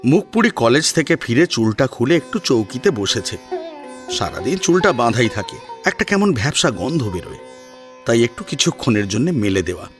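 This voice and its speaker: A man reading scripted story narration in an expressive, dramatic storytelling style. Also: mid-range voice, moderate pace. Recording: soft music under narration. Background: sitar music.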